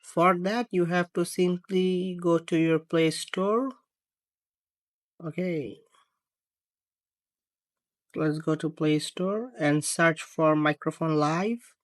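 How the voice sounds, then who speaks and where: A tutorial presenter of unclear sex narrating a phone how-to step by step in halting phrases. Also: mid-range voice, dry recording. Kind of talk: screen-recorded how-to narration.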